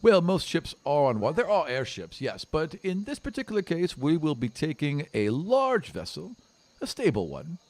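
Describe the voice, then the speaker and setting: A man playing a reassuring senior dwarf mentor who speaks in measured, formal phrases in a tabletop game. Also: fairly high pitch, huge pitch swings, a little mellow, no room sound, voice pushed up. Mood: amused, accommodating. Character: male dwarf Seeker, senior, unhurried, reassuring military mentor.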